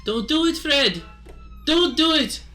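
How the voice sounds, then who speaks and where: A man's voice: very high voice, lively intonation, slow, pushed and bright, full character voice. A man playing a desperate widowed woman who gives urgent, melodramatic, repetitive pleas in an improvised comic radio play. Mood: frantic. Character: desperate widowed woman Yvette, frantically warning of experiments.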